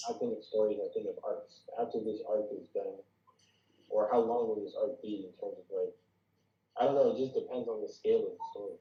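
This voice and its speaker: A man with a fairly low voice offers his thoughts in a casual discussion. The audio is dull and reverberant, like a lo-fi video call. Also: steady tone, fairly quick. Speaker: participant giving script feedback.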